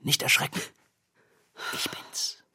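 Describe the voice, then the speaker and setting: An actor playing a timid, nervous, guilt-ridden man on the run, in a low, hushed voice for an audio drama. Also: slow pace. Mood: hushed, uneasy. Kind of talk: German audio drama acting.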